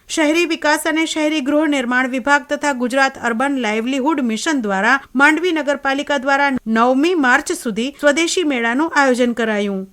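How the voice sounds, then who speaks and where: A woman newsreader delivers scripted news headlines. Her voice sits clearly high. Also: fairly bright tone.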